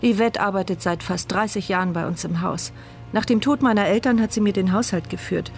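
A woman's voice: medium pitch, fairly quick, gravelly, natural voice. An actress playing a bitter, sardonic paralysed woman who speaks her inner thoughts as the narrator of a radio drama. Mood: grateful, reflective. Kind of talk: radio drama first-person inner monologue. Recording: studio radio play with music underneath.

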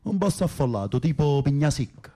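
An actor playing a tough, streetwise Camorra foot soldier in prison, blunt and commanding, with a Neapolitan accent, in a naturalistic radio drama. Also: male voice, fairly high pitch, very animated, brisk, dark tone. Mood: urgent instructing.

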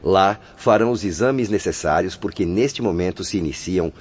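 A man's voice: low-pitched, normal speed, breathy edge. A man playing a kindly, courteous young nurse in a radio drama, formal and theatrical. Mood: friendly, wistful. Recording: muffled audio with soft music.

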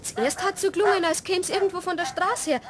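A woman playing an excitable, compassionate passer-by with a Bavarian accent, insistent and indignant, in a German radio play. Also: very high-pitched, brisk, crowd noise. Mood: concerned.

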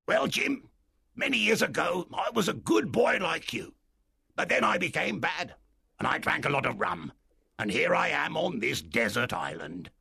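A man voicing a wild, excitable old castaway who pleads eagerly in rising exclamations, with a raspy, gravelly voice. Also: on the high side, moderate pace, dry recording, clean studio sound, pitched above the performer's own voice, exaggerated voice.

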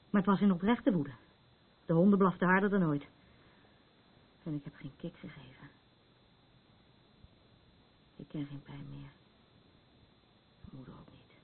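Een actrice die in een hoorspel een getroebleerde, angstige vrouw speelt die zichzelf als nachtwaakster en onheilsprofeet ziet, ernstig en ratelend van gedachte naar gedachte. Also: female voice, deep voice, creaky. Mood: haunted, subdued. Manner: rambling, earnest, haunted. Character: troubled, fearful woman, self-appointed night watcher and doomsayer. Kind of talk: radio play acting. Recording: muffled phone-like sound with background noise.

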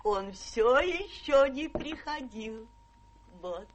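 A woman's voice: high-pitched, lively intonation, very slow, very noisy, full character voice. An actress in a Russian radio play playing a devoted, fussy middle-aged lady companion, plaintive and fawning. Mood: fawning, anxious. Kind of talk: Russian theatrical radio drama acting.

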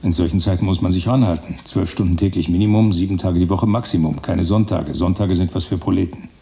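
An obsessive man planning his spartan seclusion in a radio play. His voice is very low and gravelly, with a dark tone, and he enumerates every detail precisely. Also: steady tone, fast talker, a little hiss or hum. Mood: driven. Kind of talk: radio drama monologue, German.

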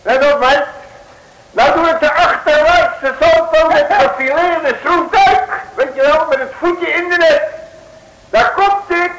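A man playing a boastful, bumbling detective in an old radio play, chummy and quick to laugh. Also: extremely high pitch, a bit bright, exaggerated voice, poor audio. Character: boastful bumbling male detective Piet Loeris. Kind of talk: 1950s radio play acting. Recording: old radio recording with faint hiss.

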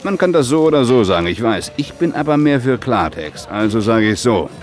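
An actor playing an officious, menacing supervisor in a radio play, blunt and condescending. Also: male voice, mid-range voice, big pitch swings, brisk, close to an everyday voice. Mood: veiled threat. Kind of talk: German radio play acting. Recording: music and faint crowd behind, outdoors.

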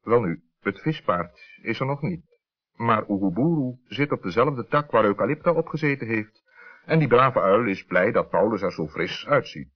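A man narrating a scripted children's story as an expressive storyteller. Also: lowish voice, moderate pace. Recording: dull 1960s radio recording.

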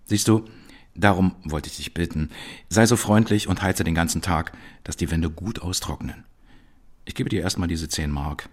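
A tactful, well-to-do friend in a radio drama. His voice is deep and husky, and he speaks with courteous, considerate ease. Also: normal speed. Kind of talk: German radio play acting.

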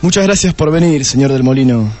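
An actor playing a shrewd, testy police detective in a radio play, with an Argentine accent and a deliberate way of questioning. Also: male voice, mid-range voice, animated, darkish tone, soft background music, natural voice. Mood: probing, insinuating. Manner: deliberate, repeats answers back pointedly. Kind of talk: Spanish-language radio play acting.

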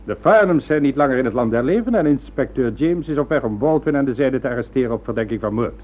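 Actor as domineering crime boss, phone-thin sound. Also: male voice, medium pitch, animated, fast, natural voice. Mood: impatient. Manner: contemptuous, commanding, mock-polite threats. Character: domineering, menacing male crime boss with a knighthood. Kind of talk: radio drama acting. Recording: narrow, phone-like old radio recording.

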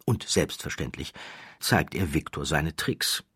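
Male audiobook narrator: low, husky voice, expressive scripted storytelling. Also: measured pace, no room sound, clean studio sound.

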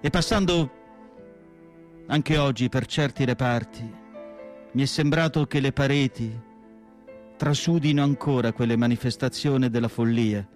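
A male actor playing a tormented asylum psychiatrist in a solemn, deliberate radio monologue with dramatic pauses. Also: medium pitch, a little mellow, soft background music, lightly acted. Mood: haunted, brooding. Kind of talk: dramatic literary monologue, theatrical radio acting.

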